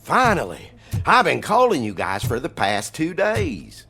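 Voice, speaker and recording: An actor playing an eager, self-important security guard in a video game, talking pompously in mimicked police jargon. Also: male voice, medium pitch, wildly swooping pitch, unhurried, gravelly, bright, forceful tone, slightly rough, soft background music, heavily acted. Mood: indignant, eager. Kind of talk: comic video game voice acting.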